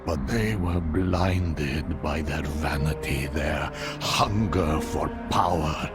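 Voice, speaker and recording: An actor playing an ancient, grave otherworldly lord in a game cinematic, speaking in a solemn, portentous manner over music. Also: male voice, very deep voice, takes it slowly. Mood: grave regret. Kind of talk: dramatic game cinematic acting. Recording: loud music behind the voice. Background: loud cinematic music.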